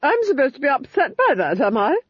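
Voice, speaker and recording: A woman's voice: huge pitch swings, brisk, no echo, highs cut off. A woman reading an audiobook, voicing a cruel, gloating duchess who rants at her captives. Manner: shrill, ranting, exultant.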